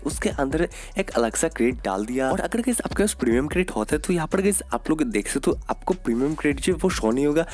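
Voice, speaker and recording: A man's voice: a little high, darkish tone. A man with an Indian accent giving casual, explanatory gaming news as the host, addressing viewers directly with repeated filler phrases. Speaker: gaming video creator and host. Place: voice-over on game screen recording.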